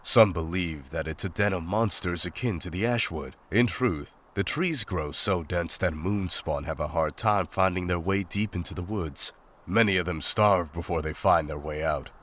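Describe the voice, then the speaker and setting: A man playing a stoic, dry-witted monster hunter in a visual novel, speaking in a terse, deadpan manner. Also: deep voice, normal speed, slight background noise. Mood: calm, matter-of-fact.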